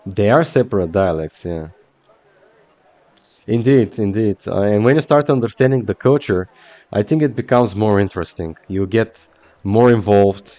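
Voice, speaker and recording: Slow, hesitant Bulgarian-accented man. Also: lowish voice, warm tone, dry recording. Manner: hesitant and rambling, full of fillers. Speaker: game streamer. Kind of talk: casual chat while streaming a game.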